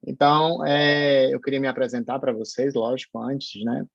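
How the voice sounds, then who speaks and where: A lecturer from Paraíba with a Northeastern Brazilian accent, giving an online university class in a hesitant, explanatory way with many fillers and restarts. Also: male voice, mid-range voice, flat delivery, normal speed, gravelly, dry recording, lo-fi sound. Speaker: pharmacology lecturer.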